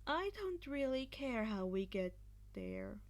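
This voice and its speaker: A woman's voice: sits high, big pitch swings, slow pace, darkish tone, heavily acted. Woman as a blunt, indifferent teenage boy. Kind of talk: acted fan fiction dialogue.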